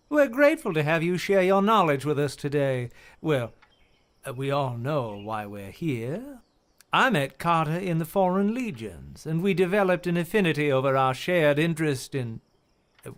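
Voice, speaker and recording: A man in a radio play acting a formal, courteous gentleman who chairs a hearing with orderly, articulate speech. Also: on the high side.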